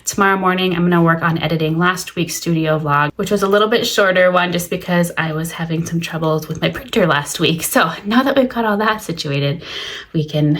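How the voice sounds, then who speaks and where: Young woman kinda stuffy, lowish voice, chatty studio vlog, lotsa "so" and "like". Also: fairly quick, fairly bright tone. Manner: chatty, friendly, lots of "so" and "like". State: a little stuffy from allergies. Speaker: small business owner vlogger. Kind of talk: casual studio vlog chat. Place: small home craft studio.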